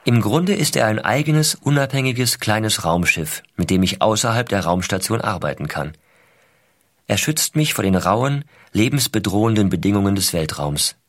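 An actor playing an American astronaut father, reading his letters in a measured, intimate, explanatory way. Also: on the low side, animated, a bit bright. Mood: calm, explanatory. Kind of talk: scripted first-person letter narration.

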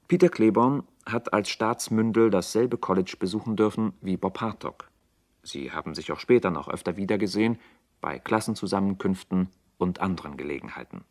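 A man narrates a radio play from a script. His voice is deep, and his delivery is formal and sober.

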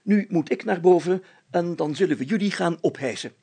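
A man's voice: high voice, brisk, creaky, mellow, husky, heavily acted. A man voicing a pompous, self-satisfied, flustered mayor in a story, stammering and speaking in grand, bossy phrases. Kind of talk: dramatised story reading.

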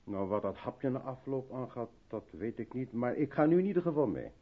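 Radio drama, male role: brusque, hesitant loner absorbed in work, husky tone, lively pitch movement. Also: fairly quick, narrow, tinny audio. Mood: defensive, brusque. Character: brusque, reluctant mixed-race South African man, absorbed in work. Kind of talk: 1970s Dutch radio drama acting.

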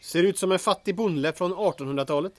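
A man's voice: high-pitched, slight background noise, close to an everyday voice. A man acting a wry, good-natured accomplice in an audio drama.

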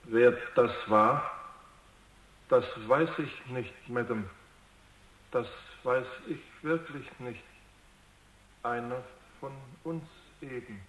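A naive, well-mannered robot in a radio drama. The voice sits at a middle pitch and rings with echo. It speaks earnestly and deferentially, with an anxious hesitancy. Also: male voice, takes it slowly, long pauses, a bit bright. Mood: timid, polite. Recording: echoey, roomy radio drama production.

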